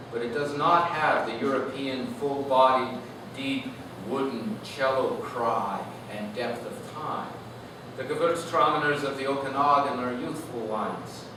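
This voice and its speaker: A man giving an animated, lyrical literary reading to an audience, phrasing deliberately. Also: mid-range voice. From Canada. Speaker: author reading own work. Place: live reading event in a room. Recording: echoey noisy room, distant mic.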